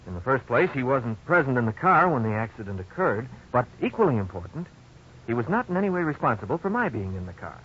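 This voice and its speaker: An earnest man narrates his youthful blunder in a 1950s radio drama. He is folksy and lively in pitch, on a muffled, faintly noisy old recording. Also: quick pace. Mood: reflective, explanatory. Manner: folksy, expressive storytelling.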